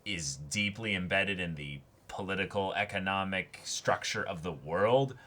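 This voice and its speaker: A man's voice: low-pitched, a little slow, pushed and bright. A man co-hosting a casual discussion, reasoning through his points analytically with hedged, roundabout phrasing. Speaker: co-host of anime reaction and discussion show.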